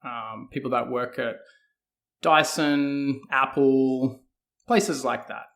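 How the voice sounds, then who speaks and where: A man's voice: mid-range voice, slow, vocal fry, a bit bright. A man with an Australian accent answering as a guest in a conversational interview, hedging and thinking aloud as he goes. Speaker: guest, fitness coach.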